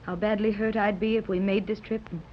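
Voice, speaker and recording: An actress playing a gentle, hopeful wealthy mother with a soothing, earnest manner, in 1930s radio serial style. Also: medium pitch, flat delivery, fairly quick, lightly acted. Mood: pleading. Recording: dull, noisy 1930s radio transcription.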